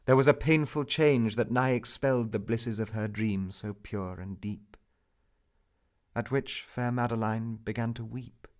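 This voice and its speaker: A man reciting a romantic narrative poem as its narrator, in a solemn, theatrical, measured manner. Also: mid-range voice.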